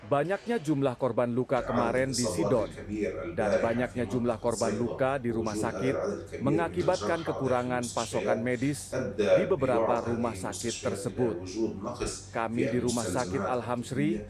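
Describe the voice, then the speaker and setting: A man's voice: mid-range voice, moderate pace. A man from hospital staff giving a formal statement for the news. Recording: echoey with loud crowd.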